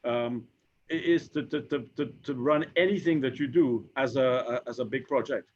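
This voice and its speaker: A Trinidadian man in his fifties, an insurance executive speaking on a panel, who talks haltingly with many repeated words and fillers. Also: medium pitch, fairly even intonation, slight background noise. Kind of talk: conversational panel discussion. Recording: dull lo-fi recording.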